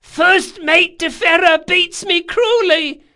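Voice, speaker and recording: A man voicing a comically put-upon sailor in a squeaky, plaintive voice, as audiobook character acting. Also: slow pace, bright, forceful tone, exaggerated voice. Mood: whining. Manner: plaintive mock complaint.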